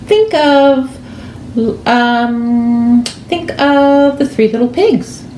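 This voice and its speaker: A woman in her fifties explaining things to children as a teacher, deliberate and with pauses, recorded in a room at home. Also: high-pitched, animated, a little mellow. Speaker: elementary school teacher. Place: kitchen or dining room at home. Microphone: camera a few feet away. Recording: roomy, echoing, faint background noise.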